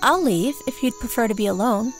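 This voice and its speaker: Plant gatherer, female actor: lightly high-pitched, polite, admiring, sincere, fantasy audio drama. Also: brisk, soft background music, lightly acted. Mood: earnest reassurance. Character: gentle, earnest female dye maker and plant gatherer.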